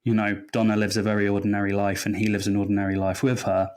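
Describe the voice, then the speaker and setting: A man's voice: fairly low pitch, monotone, quick pace, a little mellow. Reflective man in casual podcast conversation. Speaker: podcast guest. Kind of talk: casual fan discussion.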